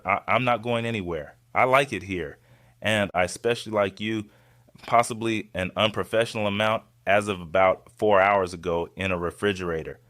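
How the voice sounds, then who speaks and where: An actor playing a flirty, devoted restaurant server in an audio drama, soft-spoken, playful and earnest. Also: male voice, on the low side, dry recording, clean studio sound, lightly acted.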